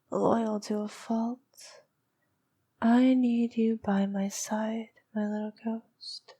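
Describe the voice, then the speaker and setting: Female performer as vampire princess for ASMR roleplay: noble, needy, possessive lover; very slow, breathy, mellow, slipping into whispers. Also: a little high. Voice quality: soft, breathy, often dropping to a whisper. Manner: slow, cooing, possessive, coaxing. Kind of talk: intimate ASMR girlfriend roleplay.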